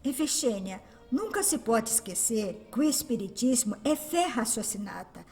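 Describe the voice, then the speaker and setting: An actress playing a wise, serene spirit mentor in a radio drama, speaking solemnly and instructively. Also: female voice, fairly high pitch, very animated, moderate pace, a little mellow, faint music behind. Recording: long reverberant echo.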